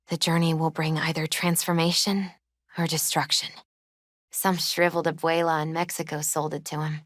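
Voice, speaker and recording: An actress voicing a gentle, spiritual young woman who reads tarot in a video game, speaking softly and in measured, wistful phrases. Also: on the low side, no echo, clean studio sound, lightly acted. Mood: wistful, reminiscing. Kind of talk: video game character dialogue and tarot readings.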